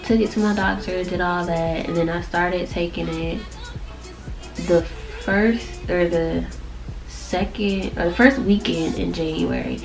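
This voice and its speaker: A young woman with a low, nasal voice, stuffed up from allergies, chatting to camera in a loose, rambling way. Music plays clearly behind her. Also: animated, unhurried. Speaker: vlogger. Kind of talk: casual chat to camera.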